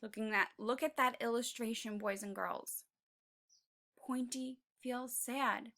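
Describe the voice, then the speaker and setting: A woman's voice: on the high side, fairly even intonation, slow pace, pushed and bright. A woman reading aloud to young children as a teacher would, expressively, stopping to prompt them with questions.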